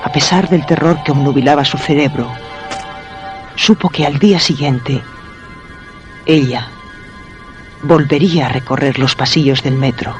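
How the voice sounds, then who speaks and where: An actor narrating a macabre suspense radio drama with a Spain accent, scary music behind him. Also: male voice, fairly high pitch, measured pace, long pauses, lightly acted. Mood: ominous. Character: storyteller of a macabre horror tale.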